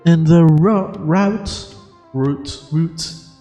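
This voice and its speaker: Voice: mid-range voice, extremely slow, dark tone, soft background music. An amateur voice actor and streamer with a casual, self-mocking manner, muttering asides and swearing at slips while reading game lines. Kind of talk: reading game dialogue aloud with off-script asides.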